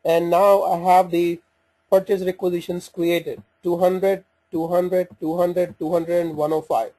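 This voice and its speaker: A man giving an informal step-by-step software walkthrough, speaking haltingly as he thinks aloud while working through the screens. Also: high-pitched, level tone, poor audio. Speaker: software demonstrator. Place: voice-over for a screen recording.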